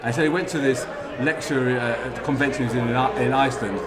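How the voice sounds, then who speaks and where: A man's voice: medium pitch, fairly even intonation, quick pace. An older man from the north of England with a mixed posh northern English accent, chatting in a self-deprecating, rambling way while signing cards at a convention. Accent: posh northern English, blended northern and southern. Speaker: guest artist. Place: large convention hall. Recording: echoey hall with loud crowd.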